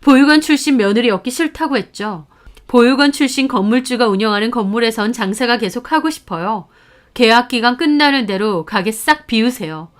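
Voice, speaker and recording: A woman's voice: a little high, a little fast, natural voice. A woman as a fierce protective big sister. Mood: cold, threatening. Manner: firm, sharp and confrontational. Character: fierce protective older sister figure, landlady.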